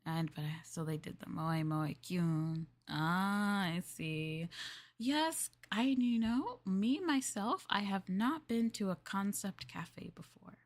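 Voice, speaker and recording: A young woman streamer in a maid roleplay, chatting in a polite, formal way, giggling and dropping into soft asides. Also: medium pitch, expressive, takes it slowly. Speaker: VTuber streamer.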